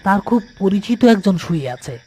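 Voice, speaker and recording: A man's voice: high voice, expressive, brisk. A man narrating a scripted horror cartoon as a storyteller.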